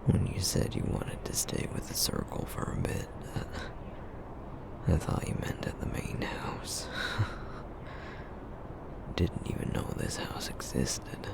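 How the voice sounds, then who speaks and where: A man voicing a gentle sorcerer boyfriend with a Southern accent, speaking softly and hesitantly with many fillers in an ASMR roleplay. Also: close to an everyday voice. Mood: soft whisper. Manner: soft, hesitant, halting with fillers. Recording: lo-fi phone-like sound with loud noise.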